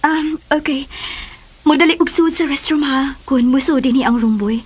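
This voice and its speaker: Woman playing a high-voiced, coy Filipina in love. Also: normal speed, close to an everyday voice. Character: shy, coy woman in love, a worried mother. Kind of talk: radio drama acting.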